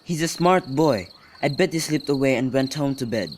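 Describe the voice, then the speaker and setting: A man's voice: mid-range voice, normal speed, natural voice. A young man playing a bawdy, mock-grand friend in an amateur Shakespeare radio drama, with theatrical, teasing delivery. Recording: noisy home recording with clicks.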